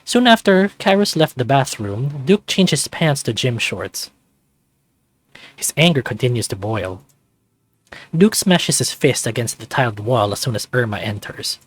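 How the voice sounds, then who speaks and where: A man's voice: medium pitch, sing-song, unhurried, dry recording. A man reading a visual novel aloud as a let's-play narrator, slipping into casual reactions. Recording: music playing behind.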